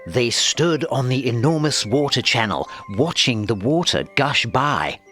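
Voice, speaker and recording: Slow, animated male storybook narrator. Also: mid-range voice. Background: music.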